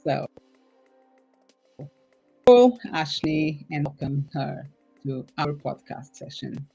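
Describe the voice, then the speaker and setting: A woman's voice: sits low, animated, slow pace, vocal fry, dark tone, soft background music, rough recording. A woman from Portugal hosting a casual podcast interview, enthusiastic, laughing often, her English sometimes slipping. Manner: enthusiastic, laughs often, speaks non-native English with slips. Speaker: podcast host.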